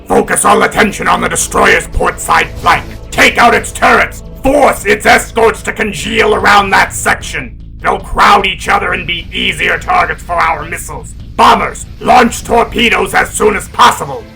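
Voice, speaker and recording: A man playing a proud, contemptuous cat-like alien warrior in a sci-fi audio drama, gravelly and imperious. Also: sits high, moderate pace, hardly any pauses, pushed and bright, faint music behind, heavily acted, rough recording. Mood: commanding. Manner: imperious, scornful.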